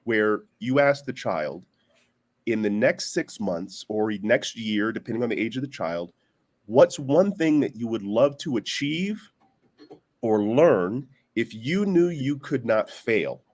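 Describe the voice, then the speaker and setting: A man's voice: medium pitch, lively intonation, takes it slowly, darkish tone. A man on a discussion panel explaining his view conversationally, often searching for words and posing questions as examples.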